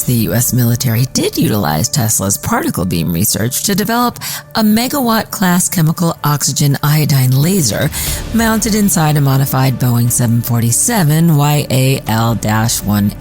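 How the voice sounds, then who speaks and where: A woman narrates a radio drama as its host. Her voice is low for a woman and swings animatedly through scripted lines, with faint music behind. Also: darkish tone.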